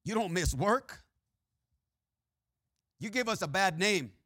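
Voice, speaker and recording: Male preacher, Mexican-Texan accent, bright forceful tone, filler-heavy delivery. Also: high voice, animated, takes it slowly, no room sound, clean studio sound. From Mexico and Texas. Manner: frequent "uh" and "amen" fillers, swings between loud preaching and quiet asides. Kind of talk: sermon.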